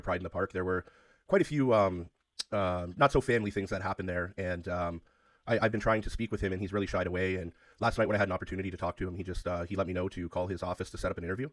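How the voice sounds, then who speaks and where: A man making a phone call, speaking in a halting, hesitant way, polite but persistent. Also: low voice, steady tone, no echo. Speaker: caller, self-described media interviewer. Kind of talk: phone conversation.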